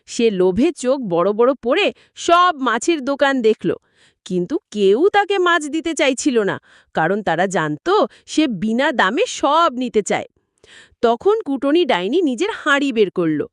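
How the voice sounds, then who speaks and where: A woman's voice: on the high side, sing-song, measured pace, no echo, studio quality. A woman narrating a scripted children's story as a storyteller.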